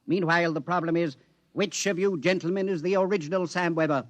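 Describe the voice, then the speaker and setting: An actor playing a fussy, officious census keeper from the future, precise, formal and pedantic, in 1950s radio drama style. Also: male voice, on the high side, fairly even intonation, fairly quick, dry recording, close to an everyday voice. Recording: 1950s radio broadcast recording.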